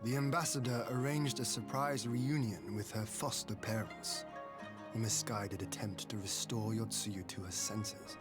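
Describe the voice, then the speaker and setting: A composed young leader, voiced by a man, who speaks formally in an even, steady tone. Clear game music sits behind his voice. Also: on the low side, a little slow, close to an everyday voice. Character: calm young male leader, noble and steady. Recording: game dialogue over background music.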